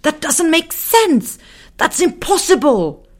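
A woman's voice: on the high side, very animated, unhurried, pitched above the performer's own voice. Woman doing a fussy, scolding little blue man. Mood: agitated. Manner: insistent, scolding, repetitive. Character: small fussy blue man creature, nervous and stern.

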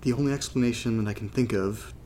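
An actor playing a troubled young man recording a halting, confessional audio diary for a horror drama, his voice slightly congested. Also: mid-range voice, warm tone, a little hiss or hum, lightly acted. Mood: troubled, searching.

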